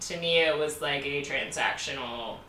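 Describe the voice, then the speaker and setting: A young woman from Baltimore chats candidly, laughing as she tells her story. Her voice is bright and a little low. She sits back from the mic in an echoey room. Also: moderate pace, recorded from a distance. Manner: candid, laughing, many "like" fillers. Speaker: podcast co-host. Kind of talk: casual storytelling chat.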